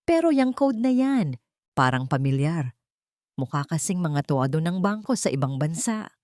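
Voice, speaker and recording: Woman acting a young male mechanic, Filipino accent, polite, shy, deferential, swooping pitch, audio story. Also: sing-song, normal speed, darkish tone, dry recording, clean studio sound. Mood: apologetic, curious. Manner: deferential, hesitant.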